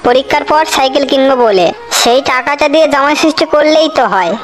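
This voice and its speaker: A woman's voice: high voice, expressive, fast talker, a little hiss or hum. A woman voicing an eager, kind-hearted village schoolboy in a cartoon, with an earnest, childlike manner. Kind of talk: Bengali cartoon voice acting.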